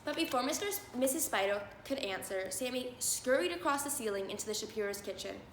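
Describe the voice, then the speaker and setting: A woman's voice: fairly high pitch, animated, a little fast. A woman reading a children's storybook aloud as narrator. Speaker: storybook reader. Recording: roomy echo, faint background noise.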